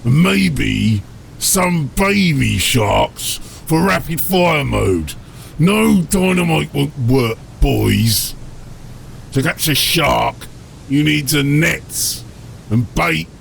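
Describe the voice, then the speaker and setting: A brutish ork submarine captain for a fantasy audiobook. The voice is high-set and thick with gravel, and it drags out coarse, bossy orders in broken grammar. A faint rumble sits behind it. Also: male voice, lively intonation, drawn-out words, exaggerated voice. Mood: stern, scheming. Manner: coarse ungrammatical speech, barking orders. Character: gruff, bossy male ork submarine captain, short-tempered. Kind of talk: fantasy audiobook character acting.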